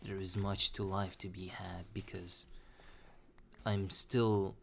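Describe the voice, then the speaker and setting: A young man talking slowly and hesitantly in a personal confessional monologue, with many restarts. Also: sits low, level tone, creaky, slight background noise. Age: young adult. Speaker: vlogger.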